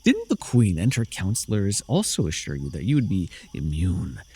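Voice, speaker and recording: A great and terrible fantasy warlord, acted out by a man, speaks with a husky, creaky voice and highly animated pitch. He is proud and sardonic, coaxing and threatening the listener in a theatrical audio roleplay style, dropping soft and then swelling into declamation. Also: normal speed, full character voice. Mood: mocking, amused.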